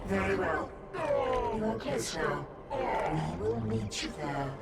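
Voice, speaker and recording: An actor voicing an inhuman hive-mind creature in a video game, coldly polite and formal, speaking as a collective, with a gravelly, echo-processed voice. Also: medium pitch, sing-song, slow, very noisy. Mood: smug, menacing. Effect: echoing monster voice processing. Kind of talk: video game villain acting. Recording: echoing, distant, under loud music.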